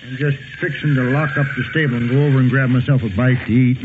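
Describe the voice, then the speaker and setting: An actor playing a talkative, rustic frontier stable keeper with a rambling, folksy manner in a 1950s radio western. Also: male voice, medium pitch, fairly even intonation, quick pace, natural voice. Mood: chatty, hospitable. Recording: tinny old radio, clear background noise.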